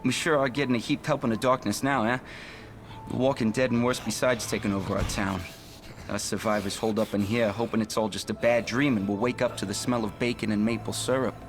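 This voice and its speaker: A man's voice: fairly low pitch, steady tone, a little fast, faint music behind, lightly acted. An actor playing a naive, rattled small-town policeman in a video game, nervous, folksy and rambling. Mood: gloomy, uneasy. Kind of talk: video game character monologue.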